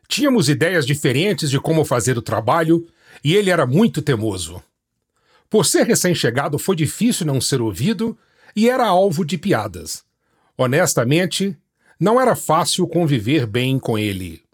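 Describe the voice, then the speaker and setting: Husky, lively-pitched young man. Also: normal speed. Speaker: missionary guest. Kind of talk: personal storytelling.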